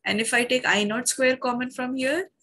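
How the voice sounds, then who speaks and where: A woman with an Indian English accent teaching a physics class online, husky from a sore throat, explaining each step and often addressing the class. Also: high voice, steady tone, moderate pace, fairly bright tone.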